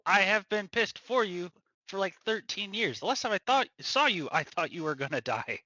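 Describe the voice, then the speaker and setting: An emotional, halting man speaking in character at a tabletop game. His voice is bright and edgy, and it comes through a dull, lo-fi mic in a dry space. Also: high-pitched, expressive, dry recording. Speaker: player. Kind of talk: in-character roleplay.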